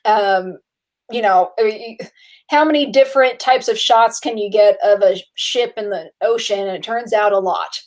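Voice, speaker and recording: A woman's voice: medium pitch, normal speed. A woman hosting a casual movie podcast, chatty and a little tired, with frequent filler words and laughs. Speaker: podcast host. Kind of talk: casual chat.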